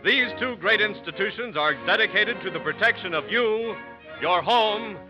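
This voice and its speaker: A man's voice: sits high, animated, moderate pace. An American man announcing in formal, ceremonious, emphatic 1940s radio style. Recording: muffled old radio broadcast, loud music.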